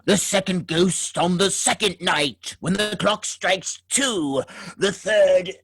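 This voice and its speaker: A man's voice: high-pitched, takes it slowly. An actor playing the wisecracking ghost of an old miser in a comic radio musical, joking, punning and singing his warnings. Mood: ominous. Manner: jokey and pun-loving, breaks into song.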